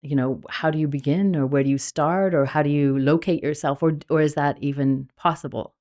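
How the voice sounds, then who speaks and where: A woman's voice: low voice, fairly even intonation, a little fast, darkish tone. A woman hosting an interview, asking curious, musing questions that she rephrases as she goes. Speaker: podcast host.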